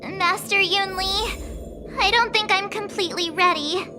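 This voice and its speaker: Eager nervous teen girl apprentice, super high cutesy game voice, music under it. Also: a little slow. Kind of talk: video game character voice acting.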